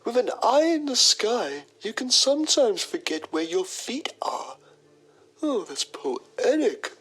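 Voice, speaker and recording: A woman's voice: on the high side, very animated, takes it slowly, husky, full character voice, low-quality recording. A woman playing a pretentious, self-admiring male journalist, narrating a mock documentary in a theatrical manner. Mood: pompous musing. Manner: pompous, theatrical.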